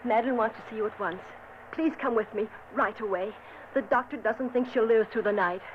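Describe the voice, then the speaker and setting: A woman's voice: on the high side, brisk, natural voice. A woman playing an anxious young noblewoman. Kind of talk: vintage radio drama acting. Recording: muffled old radio recording with loud hiss.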